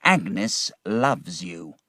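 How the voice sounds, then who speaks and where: A man voicing a fawning, scheming young man who talks slowly and ingratiatingly. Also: low voice, expressive, bright, forceful tone, no room sound, clean studio sound, heavily acted. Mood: sly.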